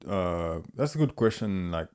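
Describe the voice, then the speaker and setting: Very deep-voiced man. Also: animated, darkish tone, clear, resonant tone. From Haiti. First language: Haitian Creole. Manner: halting, searching for words. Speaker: guest artist. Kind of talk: casual conversation.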